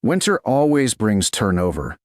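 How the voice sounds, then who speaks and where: A male narrator gives voice to a deferential castle steward from a storybook. He is formal and dutiful, low-pitched with expressive inflection, recorded dry. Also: measured pace, dry recording.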